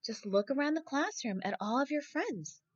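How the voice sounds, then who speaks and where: A woman's voice: sits high, lively intonation, normal speed, no echo, highs cut off, pitched above the performer's own voice. A woman reading a storybook and voicing a kind, encouraging female teacher who reassures her class.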